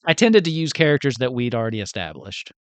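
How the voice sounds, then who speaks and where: A man's voice: medium pitch, very animated, quick pace, a bit bright, clean tone, no echo. A man chatting casually as the game master of a tabletop campaign, joking and acting out quotes. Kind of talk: casual group chat about a game.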